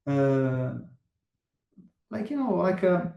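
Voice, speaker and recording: A man's voice: high-pitched, very animated, creaky, mellow, highs cut off, slight background noise. Hesitant Italian man, echoey room. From Italy. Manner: halting, searching for English words. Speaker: guest musician. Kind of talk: casual interview chat.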